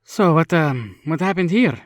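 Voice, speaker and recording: A composed woman professor from a tabletop game, acted out by a man. The delivery is measured, polite and persuasive. The sound is dry and close. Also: a little high, lively intonation, dry recording, voice pushed up. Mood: curious.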